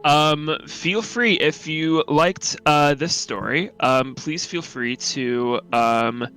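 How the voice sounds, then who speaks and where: Guy with a smooth clear voice, midrange, chatting haltingly, fixes his own words, faint music. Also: fairly high pitch, bright, forceful tone. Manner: hesitant, self-correcting. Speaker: writer and co-host. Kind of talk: casual chat, plugging own work.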